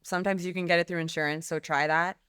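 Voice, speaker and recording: A woman's voice: on the low side, fairly even intonation, quick pace, fairly bright tone, smooth, no echo, studio quality. A woman guest speaking frankly and emphatically in a candid podcast conversation, swearing casually. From Lebanese background. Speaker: guest, company CEO.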